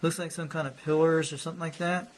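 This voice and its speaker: Man, casual rambling hobbyist talk, slightly high pitch, faint hiss. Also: little pitch movement, fast talker. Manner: rambling, repeats himself, loose grammar. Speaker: hobbyist showing a radio. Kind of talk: casual show-and-tell narration.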